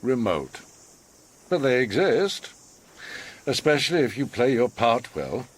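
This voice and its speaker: An actor playing a manipulative, eccentric older man in a radio drama, measured, formal and theatrically commanding. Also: mid-range voice, big pitch swings. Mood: measured, knowing. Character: manipulative, eccentric, authoritative older male host, a self-styled psychiatrist.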